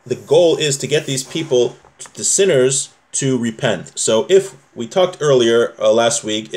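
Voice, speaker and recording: A man teaching an informal class on religious law, reading from a text and explaining it point by point, repeating himself and checking that listeners follow. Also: mid-range voice.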